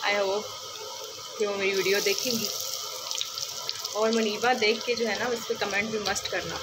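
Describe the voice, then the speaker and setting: A woman's voice: mid-range voice, level tone, moderate pace. Chatty woman in a noisy kitchen. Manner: chatty, step-by-step, leans on the filler "jo hai". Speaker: cooking vlogger. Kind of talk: casual cooking demonstration. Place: home kitchen, indoors. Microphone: phone held over the stove. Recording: noisy phone recording in a kitchen. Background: loud kitchen noise, frying and running water.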